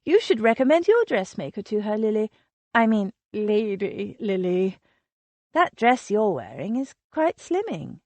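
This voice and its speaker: A woman reading an audiobook voices a catty, gossiping young Regency lady with a high, affected, gushing manner. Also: sing-song, a little slow, darkish tone, no echo.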